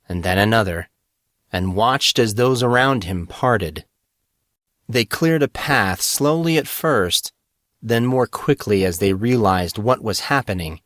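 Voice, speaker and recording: A man's voice: on the low side, fairly bright tone, no room sound, clean studio sound. A man reading scripted fantasy fiction as the narrator of a story podcast.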